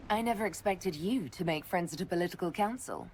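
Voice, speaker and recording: A woman playing a composed, affectionate female leader in a video game cutscene, speaking gently. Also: on the low side, a little fast, slight background noise, natural voice. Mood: gently teasing.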